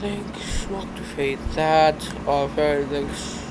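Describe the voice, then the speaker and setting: A young man with a stuffed-up, sick-sounding voice, rambling casually as he reacts to videos at his computer. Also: on the high side. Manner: rambling, halting, uneven loudness. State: stuffed up from a cold. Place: indoors at a computer. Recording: noisy home recording.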